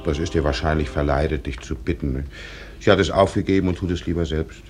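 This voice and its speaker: An actor playing a middle-aged small-town doctor and father, measured and gently firm, in a radio drama. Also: very deep voice, music in the background. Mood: gently chiding. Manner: measured, paternal, gently chiding. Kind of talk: German stage-play radio drama acting.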